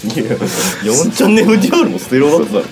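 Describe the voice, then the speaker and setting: A man's voice: a little high, sing-song, rapid-fire, gravelly. A man chatting casually as a participant on a studio podcast, with guitar music behind him. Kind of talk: casual chat.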